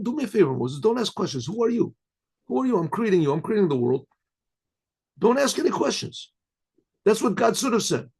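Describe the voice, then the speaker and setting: An older man, a rabbi, giving a questioning sermon-style lecture, with restarts, rhetorical asides and frequent throat clearing. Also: high-pitched, darkish tone. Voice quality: husky, clears throat often. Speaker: rabbi teaching.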